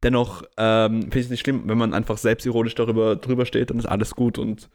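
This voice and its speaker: A man's voice: fairly low pitch, fairly even intonation, brisk, darkish tone. A young man with an Austrian German accent co-hosting a podcast, chatting animatedly with many fillers and stumbles. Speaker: podcast co-host. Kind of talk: casual chat.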